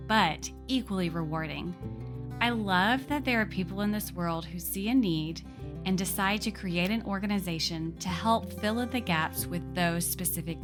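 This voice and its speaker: A woman hosting an interview podcast, moving between scripted narration and friendly conversation. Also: lowish voice. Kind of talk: warm-up scripted intro, then conversational interview.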